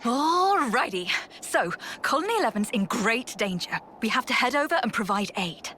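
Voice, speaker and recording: An actress playing a playful, battle-hungry commander in a video game, with theatrical, teasing, jaunty delivery. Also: female voice, on the high side, sing-song, normal speed, bright, forceful tone, slight background noise. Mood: breezy, eager. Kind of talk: video game cutscene acting.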